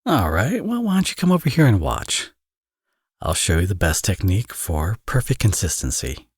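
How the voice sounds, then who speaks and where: A man acts out a teasing villain turned doting father for an ASMR romance roleplay. His voice is low and husky, recorded close and dry. He sounds smug and playful, talking as if to an old flame. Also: sing-song, moderate pace, no echo. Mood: confident, teasing. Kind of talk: ASMR audio roleplay, romantic drama acting.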